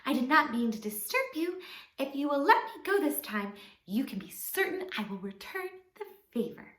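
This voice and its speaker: A woman's voice: high-pitched, sing-song, moderate pace, fairly bright tone, pitched above the performer's own voice. Woman voicing a tiny pleading mouse in an echoey room. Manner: earnest, pleading. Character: tiny timid polite female mouse, pleading. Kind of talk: children's storytelling of a fable.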